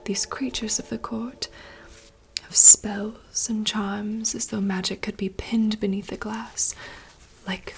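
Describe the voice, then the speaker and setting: A woman voicing a wistful young princess in a soft, slow, poetic whisper. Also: medium pitch, level tone, gravelly, slightly rough, heavily acted. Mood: yearning. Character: wistful young princess longing for wild woods. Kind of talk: whispered monologue reading.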